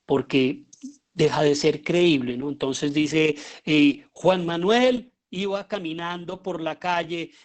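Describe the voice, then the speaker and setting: A man with a Colombian accent giving an explanatory lecture as a teacher, often pausing and posing rhetorical questions. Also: fairly high pitch, fairly even intonation. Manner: halting, with pauses and rhetorical questions. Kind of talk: explanatory lecture on writing audio fiction.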